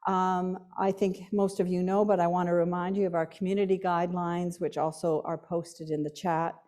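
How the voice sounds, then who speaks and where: A woman hosting a lecture event, introducing the guest speaker and reading her credentials in a measured way. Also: fairly low pitch, flat delivery, dark tone. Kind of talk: formal introduction.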